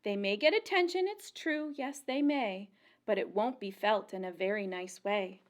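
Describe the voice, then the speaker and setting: A woman reading a rhyming picture book aloud to young children as a storytime librarian, with an expressive, deliberate, sing-song storyteller delivery. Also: medium pitch.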